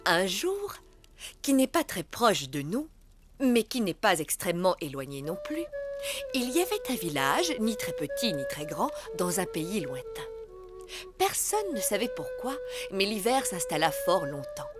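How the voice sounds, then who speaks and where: A woman voicing a gentle turtle mother in a cartoon, reading a storybook aloud expressively and playfully. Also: mid-range voice, wildly swooping pitch, unhurried, over loud music. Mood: gentle, storytelling. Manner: dramatic, playful.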